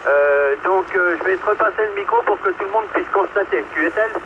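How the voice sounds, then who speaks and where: A man's voice: sits high, wildly swooping pitch, brisk. A man chatting casually over a two-way radio transmission. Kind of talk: casual amateur radio chat. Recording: tinny, noisy two-way radio.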